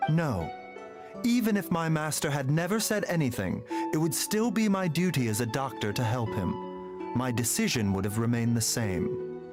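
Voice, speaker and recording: A man plays a polite, quietly sly doctor from a video game. He speaks formally and with composure, in a mid-pitched voice full of melodic rises and falls, with music clearly playing underneath. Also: very animated, normal speed, lightly acted. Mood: solemn, earnest. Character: composed, courteous, subtly sly young male doctor.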